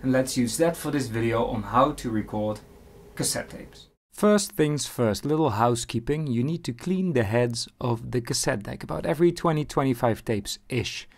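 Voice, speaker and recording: Man, medium-pitched voice, casual instructional narration, informal, wry explainer. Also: expressive, normal speed, gravelly. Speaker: presenter of a how-to video.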